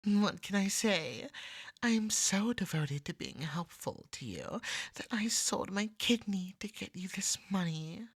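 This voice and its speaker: Clingy, jealous cat person played by a woman for ASMR roleplay. Husky, unhurried, exasperated wit, close dry sound. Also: medium pitch, expressive, dry recording. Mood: devoted, wheedling. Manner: wry, exasperated, wheedling. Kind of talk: scripted ASMR roleplay acting.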